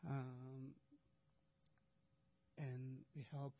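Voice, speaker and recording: A man's voice: mid-range voice, completely flat delivery, very slow, mellow, dull audio. A man presenting charity work to an audience, speaking hesitant English with many restarts and fillers.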